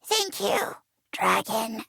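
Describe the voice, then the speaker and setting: An actress voicing a small, earnest wood sprite in a stagey fantasy play inside a video game, with grand, formal delivery. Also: female voice, fairly high pitch, very animated, drawn-out words, vocal fry, pushed and bright, slightly rough, no echo, clean studio sound, full character voice. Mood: solemn, pleading.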